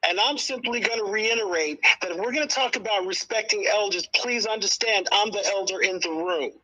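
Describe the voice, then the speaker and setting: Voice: mid-range voice, brisk, pushed and bright, husky, rough recording. A speaker in their sixties in a live political debate, explaining insistently and repeating points for emphasis. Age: 60s. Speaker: panelist in a live audio discussion.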